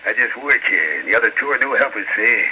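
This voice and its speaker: An actor playing a surly, defiant small-time racketeer with an American accent and sneering, slangy evasions, in an old radio crime drama. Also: male voice, fairly low pitch, expressive, fairly quick, bright, forceful tone. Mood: sullen, defiant. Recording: slightly noisy old radio recording.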